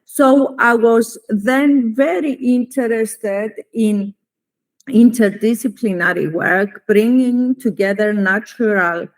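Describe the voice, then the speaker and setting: Slow, measured Greek Cypriot woman. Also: fairly high pitch, low-quality recording. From Cyprus. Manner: measured, deliberate, formal, with frequent pauses. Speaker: economics professor, interview guest. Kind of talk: reflective academic interview answer.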